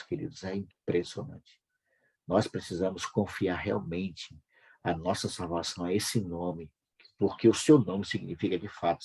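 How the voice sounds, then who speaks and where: A Brazilian man hosting a devotional broadcast, speaking informally and fervently with a husky voice, repeating phrases for emphasis. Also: low voice, a little mellow, low-quality recording. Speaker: host of a devotional prayer broadcast.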